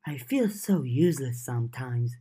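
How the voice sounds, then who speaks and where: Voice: medium pitch, expressive, normal speed, dark tone, breathy edge. A narrator reads a kind teenage girl in an audiobook. She sounds modest and hesitant, full of self-doubt.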